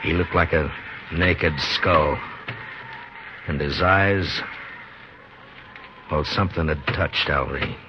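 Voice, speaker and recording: An actor playing a stoic American marshal in a 1950s radio western, terse and measured as he narrates and talks. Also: rumbling low. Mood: grim, reflective. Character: grim, steady male US marshal narrating his western tale. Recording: dull, noisy 1950s radio recording.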